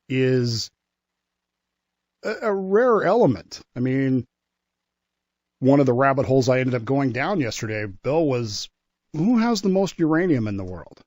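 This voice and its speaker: A man's voice: medium pitch, darkish tone, no echo. Dry-sounding man telling history conversationally. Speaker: regular history commentator on a radio talk show.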